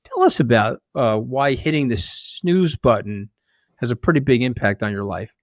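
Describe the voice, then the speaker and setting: A man's voice: mid-range voice, big pitch swings, normal speed, no room sound. A middle-aged man hosts the interview. He asks his questions bluntly and keeps the banter loose and joking. His voice is dry and close to the mic. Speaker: podcast host.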